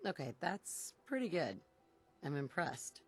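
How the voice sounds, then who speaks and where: A woman's voice: sits low, sing-song, measured pace, husky, soft background music, exaggerated voice. A woman voicing a cool-headed settlement leader, the player character of a wasteland video game, in short dialogue replies. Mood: composed.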